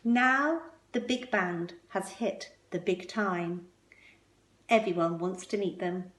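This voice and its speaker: A woman reading a children's picture book aloud expressively, with measured, emphatic phrasing and pointed pauses. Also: mid-range voice, a bit bright, a little hiss or hum. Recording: roomy, echoing indoor sound.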